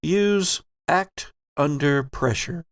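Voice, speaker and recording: Slow, theatrical male game master. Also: on the high side, dry recording, studio quality. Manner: measured, theatrical, formal. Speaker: game master, podcast host. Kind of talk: dramatic scripted-style narration of a horror tabletop game.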